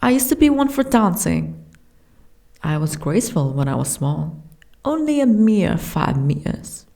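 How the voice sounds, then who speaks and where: Casting: woman to play young giantess princess for fantasy ASMR. Genteel, frail, wistful; low-pitched, unhurried, softly delivered. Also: sing-song, vocal fry, heavily acted. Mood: nostalgic. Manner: formal, archaic, genteel phrasing.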